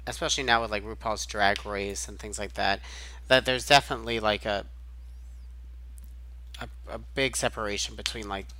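A man's voice: fairly low pitch, steady tone, normal speed, pauses often, bright, forceful tone, dry recording. A man on a casual film podcast, talking hesitantly and filling his speech with "like" and "um". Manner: hesitant, full of "like" and "um". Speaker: podcast co-host.

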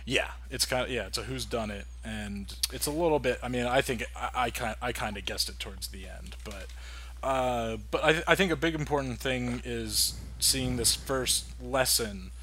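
A man co-hosting a casual film podcast, talking in a rambling way with many halting restarts. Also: medium pitch, bright, forceful tone, a little hiss or hum. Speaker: podcast co-host.

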